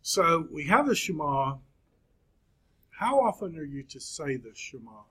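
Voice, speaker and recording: A man in his fifties teaching a Bible class, speaking slowly with frequent pauses and fillers. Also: fairly high pitch, sing-song, sharp tone, husky. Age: 50s. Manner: slow, halting, many fillers and pauses. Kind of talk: classroom lecture.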